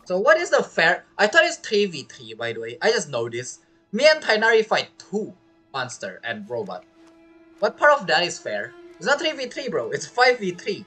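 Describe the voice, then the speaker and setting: A man's voice: sits high, wildly swooping pitch, normal speed, bright, forceful tone, husky, faint music behind. A man giving casual, slangy, jokey commentary as he plays a video game. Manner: jokey, acts out imagined quotes, slangy. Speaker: game streamer.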